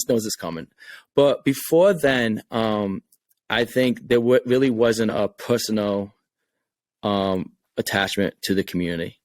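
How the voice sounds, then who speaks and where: A man's voice: fairly low pitch, no echo. A man with a Rhode Island accent, a game creator talking casually and earnestly on a podcast, often repeating words as he thinks. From Rhode Island, USA.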